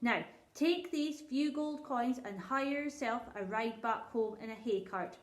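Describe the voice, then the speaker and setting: A woman's voice: on the high side, measured pace, natural voice. Woman playing a pompous vain king. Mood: regal. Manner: pompous, regal. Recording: roomy echo.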